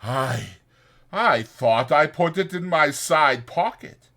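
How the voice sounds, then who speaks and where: A man's voice: fairly high pitch, expressive, slow, vocal fry, a bit bright, pitched above the performer's own voice, exaggerated voice. A man voicing a simple-minded, eager, forgetful working man, hesitant, repetitive and naive, in a dramatized audiobook. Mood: sheepish.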